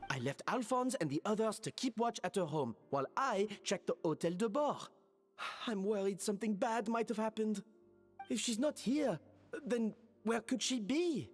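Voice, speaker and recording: Woman playing responsible young woman, mid-range voice, expressive intonation, earnest, concerned, game dialogue. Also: medium pitch, moderate pace, big-room sound, faint music behind, lightly acted. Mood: worried. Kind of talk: video game voice acting.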